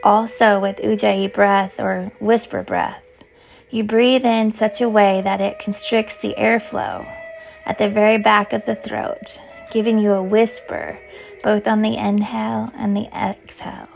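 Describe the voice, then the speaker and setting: Female meditation guide, gentle and soothing, mid-pitched with some vocal fry, faint music beneath. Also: level tone, low-quality recording. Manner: soothing, gentle, unhurried instruction. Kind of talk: guided yoga nidra meditation.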